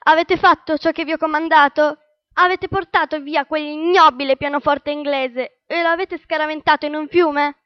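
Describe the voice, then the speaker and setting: A woman's voice: sits high, steady tone, moderate pace, no room sound, close to an everyday voice. A woman playing a vain, temperamental and imperious opera diva in a radio drama, with a haughty, theatrical manner. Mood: grand, self-satisfied. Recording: dull, lo-fi radio drama sound.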